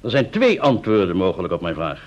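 A man's voice: low voice, huge pitch swings, brisk, darkish tone. A man playing a stern, formal senior police interrogator in a vintage radio play. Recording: dull vintage radio drama recording.

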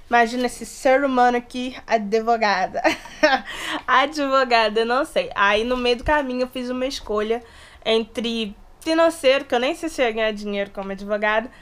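A woman in her late twenties from inland Rio de Janeiro state, with a Brazilian accent that mixes Rio, São Paulo and Minas. She chats playfully to the camera in her bedroom. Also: a little high. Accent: Brazilian Portuguese, mix of Rio, São Paulo and Minas. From Resende, Rio de Janeiro state, Brazil. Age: 20s. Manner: chatty, playful, animated hand gestures. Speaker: YouTuber. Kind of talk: casual chat to camera. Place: small bedroom indoors. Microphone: camera close in front.

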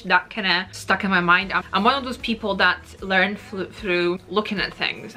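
Bright-voiced young Polish-accented woman. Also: mid-range voice. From Poland. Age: 20s. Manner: chatty, many fillers, gestures while talking. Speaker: vlogger. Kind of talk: casual storytelling to camera. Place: bedroom at home. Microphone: camera in front, seated on bed.

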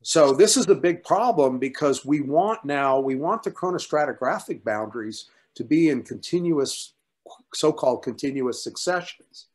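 A man's voice: fairly high pitch, animated, moderate pace. An older man, a paleontologist, speaking informally and emphatically in an academic discussion on a video call. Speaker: paleontologist at a workshop. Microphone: video call computer mic.

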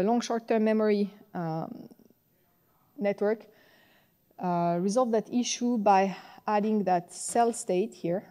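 A woman's voice: mid-range voice, a little mellow. French-accented woman giving a technical lecture. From France. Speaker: conference tutorial presenter. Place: large conference hall, on stage at a podium.

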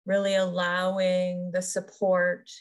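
A woman leading a guided meditation as a yoga nidra teacher, soothing and unhurried, pausing between short phrases. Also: fairly low pitch, completely flat delivery, smooth.

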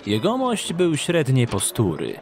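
A man's voice: medium pitch, huge pitch swings, a little slow, soft background music. A man narrating a scripted dramatic story for an audio drama.

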